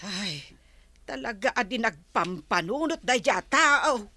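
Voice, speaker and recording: Slow, fretful older aunt. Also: female voice, fairly high pitch, expressive, sharp tone, exaggerated voice. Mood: dismayed. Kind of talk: Philippine radio drama acting.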